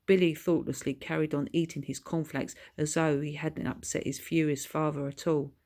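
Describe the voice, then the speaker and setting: Lowish-voiced woman reading a story. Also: level tone, moderate pace, a little mellow. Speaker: author reading own children's book. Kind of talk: expressive scripted story narration.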